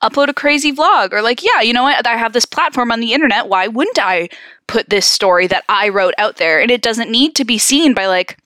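A woman's voice: a little high, expressive, a little fast, bright, forceful tone, no room sound, studio quality. A woman co-hosting a podcast in casual chat, talking expressively and emphatically with plenty of slang. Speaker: podcast co-host.

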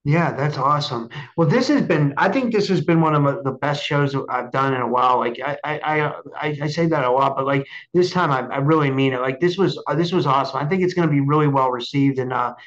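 A man hosting a casual interview, effusive and halting, often repeating words, on dull lo-fi audio. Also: mid-range voice, fairly bright tone. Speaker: show host.

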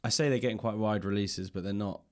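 A man with a low voice hosts a conversational interview. He is thoughtful and tentative, working through his ideas aloud as he speaks. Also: fairly even intonation, fast. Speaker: podcast host and interviewer. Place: indoors, in a library.

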